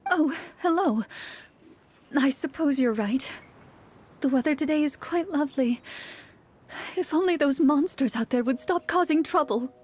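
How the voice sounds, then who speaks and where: A woman voicing a troubled townswoman, a worried wife who speaks politely, softly and hesitantly. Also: high voice, unhurried, long pauses, pitched above the performer's own voice. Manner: hesitant, soft-spoken, polite.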